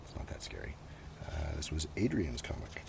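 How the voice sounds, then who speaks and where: A man hosting ASMR, rambling softly and unhurriedly in muttered asides that often drift into a whisper, recorded outdoors. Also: rumbling low, moderate pace, creaky. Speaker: ASMR video host. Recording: noisy outdoor recording, breeze and handling sounds. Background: steady ambient noise, breeze, writing and page sounds.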